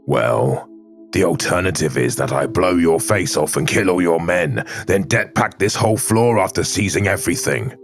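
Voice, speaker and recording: A man playing a stern, threatening police captain in a sci-fi audio drama, blunt and measured. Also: low voice. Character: stern, threatening male arbitrator captain, hard-boiled and commanding.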